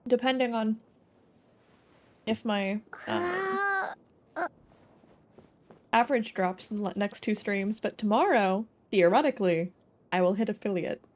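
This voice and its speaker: A woman's voice: a little high, expressive, a little slow, long pauses. A woman in her twenties chatting casually as she streams a video game, with music behind her. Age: 20s. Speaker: live streamer. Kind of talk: casual gaming stream chat.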